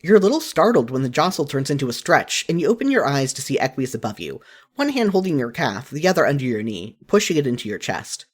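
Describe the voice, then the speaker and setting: A narrator reading a second-person story aloud in an expressive style, as in a fan fiction audiobook. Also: medium pitch, fast, slightly rough.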